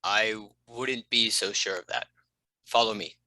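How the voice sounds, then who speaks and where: Sensible male cartoon cat, done by an actor, forceful and very sharp-toned, dry close sound. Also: fairly low pitch, fairly even intonation, measured pace, no room sound. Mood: serious. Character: Wilbur, sensible talking male cat sidekick. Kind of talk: animated comedy table read.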